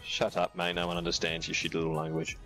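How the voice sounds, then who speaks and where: A man's voice: sits low, completely flat delivery, quick pace, sharp tone, soft background music. A teenage boy chatting in a game voice chat, cocky and foul-mouthed, on a headset. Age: teens. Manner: cocky, swearing, banter. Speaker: gamer in voice chat.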